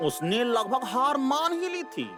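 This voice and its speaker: A man voicing a sentimental, theatrical cartoon animal storyteller with a romantic heart and a drawn-out delivery. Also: very high voice, very animated, music in the background, exaggerated voice. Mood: storytelling.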